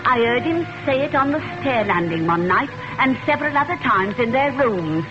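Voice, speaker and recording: A woman playing a gossipy high-voiced maid witness. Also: expressive, moderate pace, lightly acted. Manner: chatty, folksy, emphatic. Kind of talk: 1940s radio acting. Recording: dull old radio recording with music.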